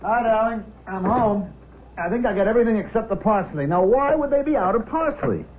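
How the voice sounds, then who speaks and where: A man's voice: high-pitched, animated, fairly quick, dull audio. A man playing an affectionate, concerned husband in a 1970s radio drama. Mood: cheery. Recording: band-limited 1970s radio, faint hiss.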